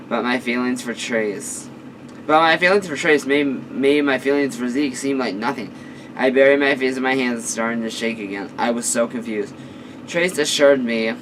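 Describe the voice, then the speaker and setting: A hoarse man reading a fan-fiction story aloud, stumbling and restarting phrases often. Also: mid-range voice, a bit bright, distant mic, a little hiss or hum, rough recording. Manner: halting, frequent restarts. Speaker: story reader.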